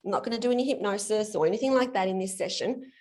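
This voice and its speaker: A woman's voice: mid-range voice, rapid-fire, darkish tone. A woman coaching a guest through his limiting beliefs on a video call, in a friendly, explanatory way. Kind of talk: live coaching demonstration in an online session.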